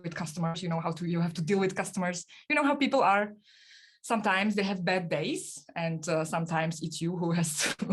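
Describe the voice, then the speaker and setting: A young woman, a podcast guest, answering interview questions casually with many fillers and restarts. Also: fairly low pitch. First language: not German.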